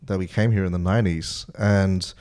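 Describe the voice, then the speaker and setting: A man's voice: deep voice, fairly even intonation, normal speed, a little mellow. A young man, born in Myanmar, talking in a reflective, hesitant way as a podcast co-host. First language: Burmese. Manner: reflective, hesitant, many restarts. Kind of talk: casual chat.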